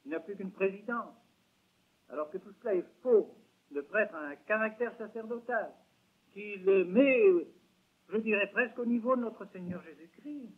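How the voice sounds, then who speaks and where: Emphatic older cleric, high and husky. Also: male voice, normal speed. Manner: emphatic, rhetorical questions, frequent repetitions. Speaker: archbishop giving a lecture. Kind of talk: religious lecture. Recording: tinny lo-fi 1970s lecture recording.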